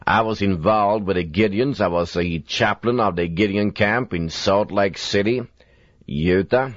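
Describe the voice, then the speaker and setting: Older preacher, slow and earnest, kinda muffled dry old tape sound. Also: male voice, lowish voice, dry recording. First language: Swedish. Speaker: evangelist preacher. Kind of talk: recorded sermon. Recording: dull lo-fi dry recording.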